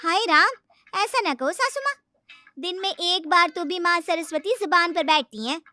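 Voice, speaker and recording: A woman's voice: very high voice, normal speed, sharp tone, faint music behind. A woman voicing a cunning young daughter-in-law, a sugary and theatrical schemer in a moral story cartoon. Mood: sugary, evasive.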